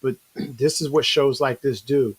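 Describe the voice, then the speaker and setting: A man hosts a relaxed online interview over a video call in a casual, conversational way. He keeps the talk loose and friendly, dropping in bro and you know as he goes. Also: medium pitch, a little slow, slightly rough. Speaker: online show host.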